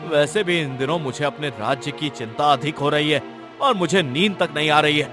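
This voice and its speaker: A man's voice: a little high, moderate pace, music in the background, natural voice. A man voicing a stern, restless king in a cartoon story, with a commanding, haughty way of talking.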